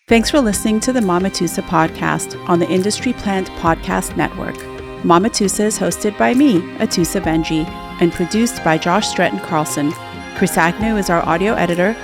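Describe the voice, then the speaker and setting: A woman's voice: lowish voice, gravelly. A woman whose first language is Farsi, hosting her own podcast and telling her story in an earnest, reflective way. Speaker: podcast host and midwife. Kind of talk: solo podcast monologue.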